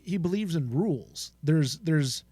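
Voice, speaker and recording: A man's voice: on the high side, expressive, measured pace, dark tone, slightly rough, no room sound. A man co-hosting a fan podcast, chatting casually in an enthusiastic, agreeable manner. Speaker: podcast co-host.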